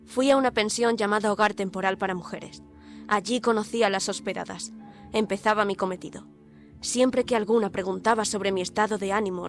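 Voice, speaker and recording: A woman's voice: mid-range voice, natural voice. Actress as a defiant woman reporter, music underneath. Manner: indignant, articulate, dramatic. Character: defiant young woman reporter posing as an asylum inmate. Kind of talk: audio drama narration and dialogue.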